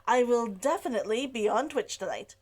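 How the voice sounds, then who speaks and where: A woman's voice: on the high side, animated, fairly quick, darkish tone. A woman reading her novel aloud chapter by chapter, giggling between lines in the intro. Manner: giggly, laughs between sentences. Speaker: read-along narrator. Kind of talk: casual intro and story reading.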